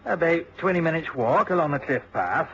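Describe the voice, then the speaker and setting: Dull vintage radio, friendly country landlord, Cornish male, animated, chatty, obliging. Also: on the high side, brisk, slight background noise. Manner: folksy, chatty. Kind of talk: mid-century radio drama acting. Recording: dull old radio recording.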